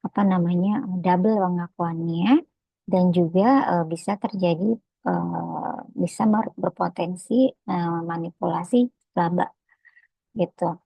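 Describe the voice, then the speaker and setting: A woman with an Indonesian accent giving a lecture that explains slides, speaking hesitantly with frequent pauses and filler phrases. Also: on the low side, vocal fry, breathy edge, no echo, muffled recording. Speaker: accounting lecturer.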